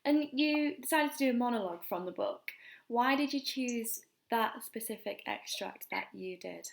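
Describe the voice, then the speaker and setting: A woman's voice: on the high side, expressive, normal speed, creaky. A woman interviewing a guest, asking plain, direct questions.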